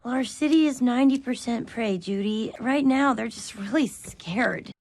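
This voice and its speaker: A high-voiced scheming cartoon sheep. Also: female voice, normal speed, a little mellow, soft background music. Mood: anxious, confiding. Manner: explains her scheme outright. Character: small female sheep villain, anxious but scheming. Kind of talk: animated film dialogue.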